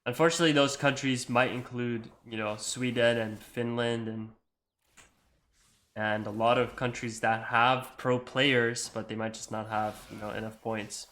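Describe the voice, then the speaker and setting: Young adult man, American accent, bright voice, casual rambling gameplay commentary. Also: medium pitch, little pitch movement, a little slow. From Iceland. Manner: rambling, full of likes and you-knows. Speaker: gamer and video creator.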